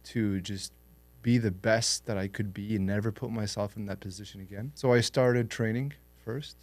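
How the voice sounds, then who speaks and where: Low-voiced man telling his life story. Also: steady tone, moderate pace. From born in Lebanon, raised in Canada. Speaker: podcast guest, entrepreneur. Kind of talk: interview storytelling.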